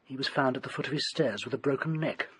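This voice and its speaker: Haunted, theatrical British gentleman doctor, bright-edged. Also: mid-range voice, fast talker, slightly rough, no room sound, low-quality recording. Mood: ominous storytelling. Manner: ornate, theatrical, dwells on grisly detail. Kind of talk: radio drama acting.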